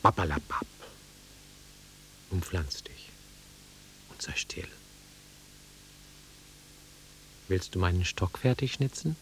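A man's voice: sits low, very animated, measured pace, in a whisper, exaggerated voice, low-quality recording. An actor playing an awkward, sentimental middle-aged man in a German radio drama, self-deprecating and swinging between murmurs and outbursts. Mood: gruff.

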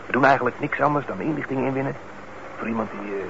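An actor playing a shady, gruff petty crook who coaxes in underworld slang in a Dutch radio play. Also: male voice, mid-range voice, fast talker, lightly acted. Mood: irritated. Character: shady male petty crook pressuring an old accomplice. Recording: muffled, very noisy old radio recording.

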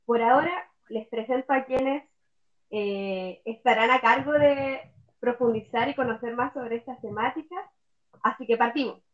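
A woman's voice: on the high side, moderate pace. A Chilean woman hosting a casual podcast, guiding the talk with an upbeat manner and frequent "eh" fillers. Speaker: podcast host. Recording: slightly dull lo-fi sound.

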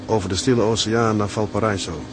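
A man acting a homesick young ship's mate in a Dutch radio drama, gloomy, frightened and halting. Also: on the low side, fairly even intonation, background noise, lightly acted. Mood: wistful.

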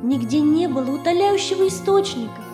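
A woman narrating a children's tale in a measured, expressive literary reading. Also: sits high. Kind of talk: scripted storytelling for children. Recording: roomy reverb, faint music behind.

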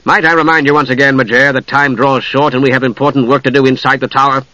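Man playing a cold, haughty knight, crisply forceful. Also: medium pitch, flat delivery, quick pace, dry recording, close to an everyday voice, poor audio. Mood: acid impatience. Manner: curt, formal, disdainful. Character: cold, haughty, acidly impatient male knight.

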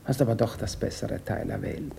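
A man's voice: on the low side, moderate pace, vocal fry, warm tone, a little hiss or hum. An actor playing a self-assured, flirtatious, condescending male musician in a naturalistic radio drama. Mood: condescending, casual. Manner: nonchalant, probing, patronising.

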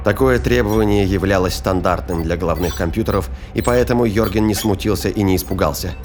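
A man with a fairly low voice narrates a science-fiction thriller. His delivery is formal, measured storytelling, set against clearly audible music. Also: darkish tone.

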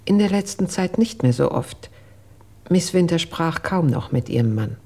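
An actress playing a reserved housekeeper giving testimony in a German radio crime drama, formal and measured. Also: female voice, lowish voice, animated, warm tone, husky.

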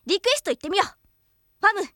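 A brash young tomboy adventurer from an anime radio show. Her voice is high and bright, swinging widely in pitch, with a rough, boyish and teasing way of talking that gets bashful easily. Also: very animated, brisk, dry recording, clean studio sound, heavily acted. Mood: teasing, casual. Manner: rough, boyish casual speech. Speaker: radio request show co-host. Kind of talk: scripted anime character radio talk.

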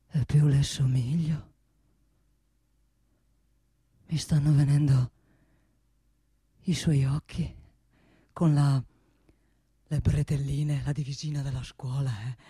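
A woman's voice: low-pitched, warm tone, slightly rough, no room sound, clean studio sound, heavily acted. A woman playing an ageing, wealthy male boss in a comic radio monologue, self-satisfied and domineering, rambling and repeating with little questioning tags. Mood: wistful. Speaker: actor in a comic radio play.

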